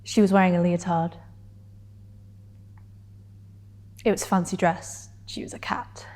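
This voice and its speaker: A woman performing a dramatic stage monologue as a wounded, defiant young woman, deliberate and emphatically articulated with weighted pauses. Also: fairly low pitch, fairly even intonation, a little mellow, natural voice. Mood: tender recollection.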